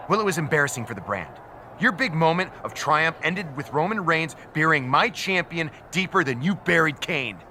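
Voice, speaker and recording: An actor playing a smug, self-important, emphatic wrestling general manager in a video game cutscene. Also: male voice, on the high side, animated, normal speed, pushed and bright, a little hiss or hum. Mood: indignant, scornful.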